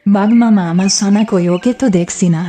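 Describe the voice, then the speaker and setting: Woman as a mellow, earnest donkey mother. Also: mid-range voice, fairly even intonation, brisk, close to an everyday voice. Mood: worried. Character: caring donkey mother, trusting and anxious. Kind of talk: Bengali children's cartoon dubbing.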